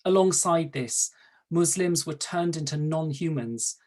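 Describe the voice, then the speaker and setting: A Welsh-accented man, a native Welsh speaker serving as an archdeacon, gives a measured, formal talk over a video call, setting out his points in order. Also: on the high side, a little mellow. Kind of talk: prepared talk at an online webinar.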